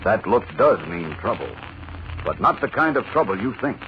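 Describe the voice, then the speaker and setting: A man's voice: lowish voice, very animated, normal speed. A man narrating a 1940s radio serial in a dramatic, scripted style. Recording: noisy, muffled 1940s radio recording.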